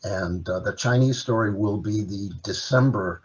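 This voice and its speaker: A man's voice: lowish voice, normal speed, a little mellow, muffled recording. A man, a native Dutch speaker, presenting his work as an author and translator in a casual talk, listing items with many filler uhs. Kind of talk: casual presentation at an online library event.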